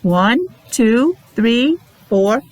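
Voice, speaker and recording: A woman's voice: on the high side, animated, drawn-out words, a little mellow, low-quality recording. An American woman teaching young children, talking in a sing-song way with simple repeated phrases and coaxing questions. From New England, USA. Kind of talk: interactive preschool lesson for young children.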